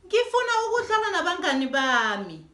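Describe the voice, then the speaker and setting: A woman's voice: extremely high pitch, very animated, a little fast, pushed and bright, pitched above the performer's own voice, full character voice. A woman voicing a stubborn little girl who is poorly but wants to play, in a children's storybook. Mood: defiant pleading. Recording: echoey room.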